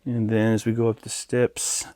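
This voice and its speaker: A man with a fairly low voice guides viewers through an informal tour. He talks off the cuff and speaks to them like friends. The sound is dry, with little room echo. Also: monotone, moderate pace, dark tone. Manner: informal, addressing viewers as guys. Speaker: tour guide and vlog host. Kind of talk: casual off-the-cuff walkthrough.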